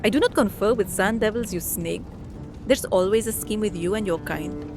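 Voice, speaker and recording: An actress playing a proud, distrustful vampire matriarch in a dark fantasy audio drama, formal and cutting. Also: female voice, medium pitch, moderate pace, over music, lightly acted. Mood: scornful. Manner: imperious, biting, formal.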